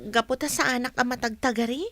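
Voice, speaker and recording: A woman's voice: on the high side, fairly even intonation, fast, a bit bright. An actress playing a shy, reserved young woman in a radio drama. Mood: reluctant.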